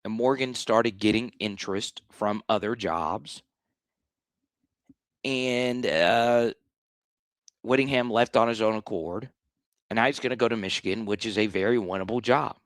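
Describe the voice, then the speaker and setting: Hoarse-ish guy with a lowish voice ranting about college football on a stream, dry close sound, keeps restarting. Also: a little slow, pauses often, dry recording. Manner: blunt, animated rants with repeated restarts. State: slightly hoarse. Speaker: sports show host. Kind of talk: live-stream sports talk.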